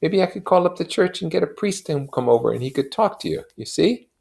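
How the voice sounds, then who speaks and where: A man voicing an anxious friend in a novel reading, pleading and gently insistent as he questions and comforts. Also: a little high, lively intonation, brisk, creaky, pitched above the performer's own voice. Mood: coaxing, insistent.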